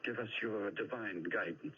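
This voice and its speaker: A man's voice: on the low side, moderate pace, lightly acted. An actor playing a persuasive, patronizing, sanctimonious man in a vintage radio drama. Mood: fervent, pious. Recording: muffled, noisy old radio recording.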